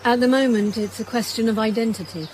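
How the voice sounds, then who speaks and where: A woman's voice: fairly high pitch, fairly quick, a little mellow, slight background noise, close to an everyday voice. A British woman detective inspector as an actress plays her in a naturalistic radio drama. She questions with dry, probing insistence and a sceptical edge. A faint ambience sits behind her voice. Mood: firm, official. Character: brisk, sceptical female police detective inspector.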